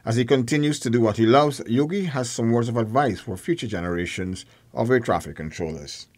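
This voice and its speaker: A man's voice: medium pitch, brisk, darkish tone, a little hiss or hum. A man reading scripted narration for a news feature.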